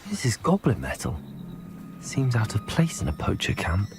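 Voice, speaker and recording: A man's voice: fairly low pitch, huge pitch swings, moderate pace, background noise. An actor playing an earnest, curious young male wizard in a video game, polite and thoughtful. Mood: investigating, thoughtful. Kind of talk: video game cinematic acting.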